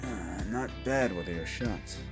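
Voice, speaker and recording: A man playing a gruff, wisecracking demon-born monster hunter in an action horror audio roleplay, talking in blunt tough-guy slang. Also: on the low side, takes it slowly. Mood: wry, relaxed. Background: music.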